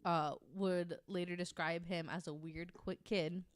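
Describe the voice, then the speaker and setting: A woman's voice: lowish voice, steady tone, measured pace, dry recording. A woman hosting a podcast, telling nonfiction stories casually from her notes with informal, wry asides. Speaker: podcast host.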